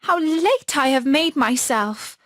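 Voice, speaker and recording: A woman's voice: high-pitched, lively intonation, slow pace, fairly bright tone, dry recording, studio quality, voice pushed up, heavily acted. A woman voicing an earnest, distressed little girl in a fairy tale, exclaiming plaintively. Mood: dismayed. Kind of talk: storybook character voice.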